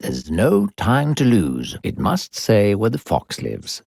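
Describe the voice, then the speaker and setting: A man playing a sinister, coaxing disembodied narrator who guides a child in a video game, gravelly, slow, theatrical and condescending. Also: wildly swooping pitch, a little mellow, dry recording, clean studio sound, full character voice. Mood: smooth, approving. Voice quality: deep, gravelly. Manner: smooth-talking, condescending, theatrical, slow and deliberate.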